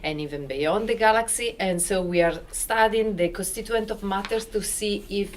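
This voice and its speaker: A middle-aged woman from Italy with an Italian accent, speaking on a university panel in animated, non-native English and sometimes searching for words. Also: mid-range voice, normal speed, slight background noise. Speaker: physicist on a panel. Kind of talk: panel introduction. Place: university lecture room with audience. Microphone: handheld mic.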